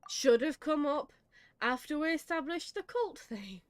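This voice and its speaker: High-voiced woman gaming and commenting. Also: lively intonation, measured pace. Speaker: psychologist streamer. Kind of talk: casual gameplay commentary. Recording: game music behind. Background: game music.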